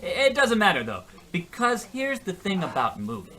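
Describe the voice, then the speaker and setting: A man's voice: high voice, huge pitch swings, normal speed, pushed and bright, heavily acted. A man playing an oblivious, self-pitying husband in a comedic radio play, earnest, defensive and rambling. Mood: flustered, rambling. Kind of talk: comedic old-time radio play acting.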